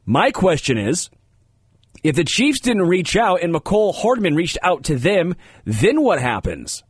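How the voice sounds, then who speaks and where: A man's voice: high voice, big pitch swings, measured pace, slightly rough, dry recording, clean studio sound. An American man hosting a sports talk radio show, slightly congested, speaking informally and emphatically and often repeating himself. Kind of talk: sports talk radio commentary with casual asides.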